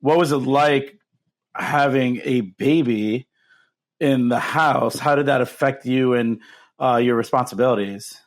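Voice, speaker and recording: A man's voice: mid-range voice, a little slow. Friendly male podcast host. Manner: friendly, hesitant with many fillers. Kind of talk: casual interview.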